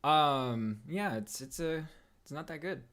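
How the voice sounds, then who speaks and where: A man's voice: on the high side, animated, unhurried, a bit bright. A man co-hosting a podcast, chiming in casually in an offhand way. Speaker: podcast co-host. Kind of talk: casual chat.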